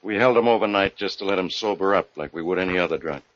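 A man's voice: sits low, fast talker, no room sound, close to an everyday voice. A man playing a blunt, wisecracking police inspector with slangy, hard-boiled delivery in 1940s radio mystery style. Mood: wry warning. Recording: muffled old-time radio recording.

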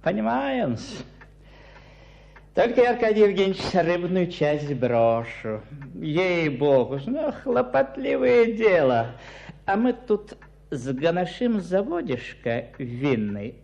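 An actor playing a sly, servile provincial merchant in a radio play, with an obsequious folksy manner. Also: male voice, high voice, big pitch swings, slow pace, gravelly, a little mellow, dull audio, full character voice. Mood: wheedling. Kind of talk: Russian radio play acting.

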